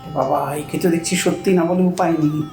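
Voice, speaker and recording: A woman's voice: deep voice, steady tone, quick pace, mellow, echoey room, faint music behind, close to an everyday voice. A woman playing a bossy, boastful older housewife in a comic audio drama, scolding and wheedling with self-important chatter. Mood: smug.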